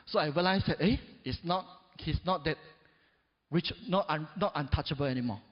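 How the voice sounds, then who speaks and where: A man in his forties delivers an emphatic motivational speech, swinging between loud peaks and low drops. His voice sits a little high for a man. The sound is dull and washed in the long echo of a big hall. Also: normal speed. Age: 40s. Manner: peppers talk with "right?" and "yes or no?" to the audience. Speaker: motivational speaker.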